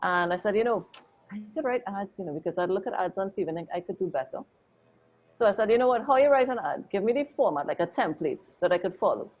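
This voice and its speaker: A woman, a photographer and interview guest, telling her story in a chatty, animated way with lots of "like" and "oh my God". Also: medium pitch, fast.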